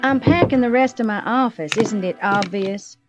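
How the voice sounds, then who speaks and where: A woman's voice: mid-range voice, moderate pace, music in the background, natural voice. An actress playing a proud, bitter businesswoman in an audio drama, sardonic and clipped. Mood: icy, sarcastic.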